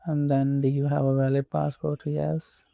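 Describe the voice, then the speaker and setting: A man from Pakistan, not a native English speaker, narrating a screen walkthrough with slow, methodical step-by-step instructions. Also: on the high side, completely flat delivery, vocal fry, warm tone, no room sound. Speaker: tutorial presenter.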